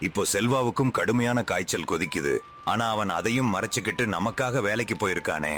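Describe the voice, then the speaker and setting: A man's voice: fairly low pitch, expressive, a bit bright, a little hiss or hum. A man acting a principled, caring husband in a story drama, speaking earnestly. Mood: worried. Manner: measured, earnest.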